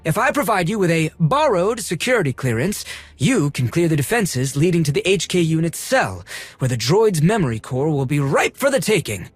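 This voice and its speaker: High-pitched, pompous, fussy male collector. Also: big pitch swings, moderate pace, no echo. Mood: scheming, pompous. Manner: pompous, theatrical, flustered under pressure. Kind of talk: video game cutscene acting.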